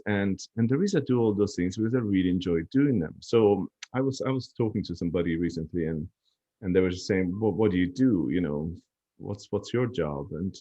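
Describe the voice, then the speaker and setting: A man's voice: deep voice, warm tone, highs cut off. A man chatting as a podcast guest, telling stories in a reflective, easygoing way. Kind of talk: casual chat.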